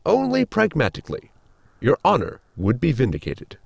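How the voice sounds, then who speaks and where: Man playing a formal, swooping, creaky sci-fi crewman. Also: mid-range voice, wildly swooping pitch, no echo, full character voice. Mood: dry, matter-of-fact. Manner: formal, measured, explanatory. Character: polite, scientifically minded spaceship crewman, earnest and precise. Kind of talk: science fiction comedy audio drama acting.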